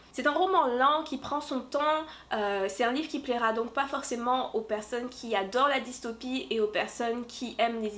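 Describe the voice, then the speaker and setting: Upbeat woman book reviewer with a slightly high voice, talking casually to camera with lots of little pauses. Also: fairly bright tone. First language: French. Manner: chatty and upbeat, many filler pauses. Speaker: book vlogger and fantasy author. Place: room decorated for Halloween.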